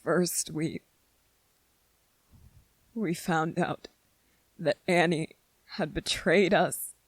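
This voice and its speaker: A woman plays an anxious young male soldier from an anime, pitched low for a woman and very slow. He halts and repeats himself as though worn down. The recording is dry and close, in the manner of an ASMR roleplay. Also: steady tone, breathy edge, no room sound, heavily acted, low-quality recording. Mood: troubled, disbelieving. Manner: halting, repeating words, dramatic pauses. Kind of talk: scripted ASMR roleplay monologue.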